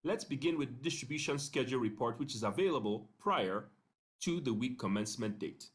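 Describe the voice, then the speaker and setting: A man narrating an instructional screencast in a measured, step-by-step way, pausing briefly between phrases. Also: medium pitch, expressive. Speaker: software tutorial narrator.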